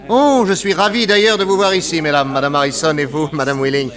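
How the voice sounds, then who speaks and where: A man's voice: on the high side, steady tone, quick pace, fairly bright tone, natural voice. A man playing a suave, confident, commanding detective in a French radio crime drama. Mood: genial, gallant.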